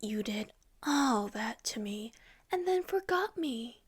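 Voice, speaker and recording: A woman's voice: a little high, lively intonation, takes it slowly, husky, full character voice. Sneering rogue policeman, as a woman reads him. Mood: menacing. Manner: sneering, whining. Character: menacing rogue male policeman, bitter and self-pitying.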